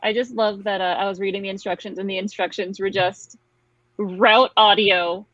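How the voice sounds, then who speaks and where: A woman's voice: mid-range voice, level tone, moderate pace, fairly bright tone, dry recording, dull audio. A woman hosting a livestream and chatting casually with her friends. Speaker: livestream host. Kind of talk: casual chat.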